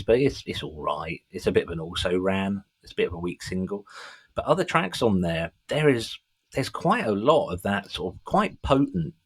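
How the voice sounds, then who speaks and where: A man's voice: low voice, husky. A middle-aged man co-hosting a music podcast, chatting casually with an enthusiastic, sweary fan's manner. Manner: enthusiastic, sweary fan talk.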